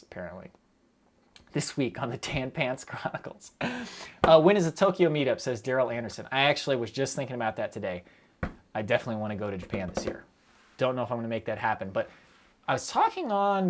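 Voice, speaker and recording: Rambling young man on stream. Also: mid-range voice. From United States. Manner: rambling, quick, self-interrupting with fillers and laughs. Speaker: streamer answering chat. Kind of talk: casual live stream chat.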